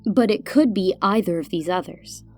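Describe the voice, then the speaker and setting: A woman playing a composed older guide in an audio drama, reasoning in a measured, matter-of-fact way. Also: mid-range voice, mellow, soft background music, natural voice. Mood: calm, reasoning.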